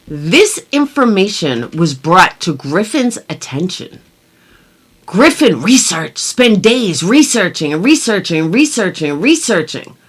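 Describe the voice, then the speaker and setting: Theatrical, sarcastic woman with swooping pitch. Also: fairly bright tone. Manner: theatrical, sarcastic, drawn-out emphasis. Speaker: commentary video host. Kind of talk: reading a document aloud with mocking commentary.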